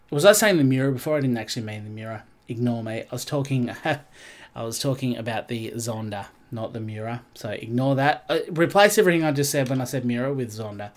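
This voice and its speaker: A congested, nasal man talking through a racing game on a live stream. His voice sits at an ordinary middle pitch. He rambles loosely, chats to viewers and sounds easygoing, never scripted. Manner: chatty, rambling, greets chat viewers. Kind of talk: casual live-stream commentary.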